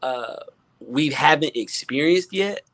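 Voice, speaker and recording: A man with an American accent talking casually as a guest music producer, halting and repeating words as he stresses his points. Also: on the high side, lively intonation, a bit bright, no echo. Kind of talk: casual panel talk.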